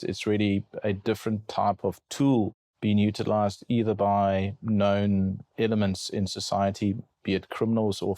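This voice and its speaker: A middle-aged man with a South African accent, speaking as a guest in a podcast interview, measured and repeating words as he thinks. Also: lowish voice, darkish tone, no echo. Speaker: guest cybersecurity lawyer.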